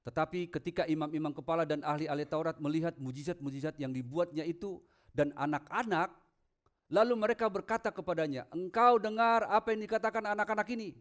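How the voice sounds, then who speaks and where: High-pitched man preaching a sermon. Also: a little fast. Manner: rhetorical questions to the congregation; emphatic, swings from low asides to loud proclamation. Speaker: pastor preaching. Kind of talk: sermon with scripture readings.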